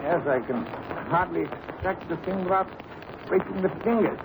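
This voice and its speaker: An actor playing a shrewd, composed detective in a radio mystery, precise and dryly superior in manner. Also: male voice, high voice, vocal fry. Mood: grave, intent. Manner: precise, measured, dryly condescending. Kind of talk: 1930s radio mystery acting. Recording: noisy old radio recording.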